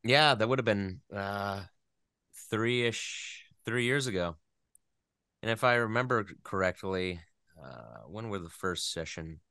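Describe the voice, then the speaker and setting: A man's voice: on the low side, lively intonation, unhurried, sharp tone, no echo, clean studio sound. A man, a voice actor, chatting casually as an interview guest, telling stories in a joking, self-deprecating way.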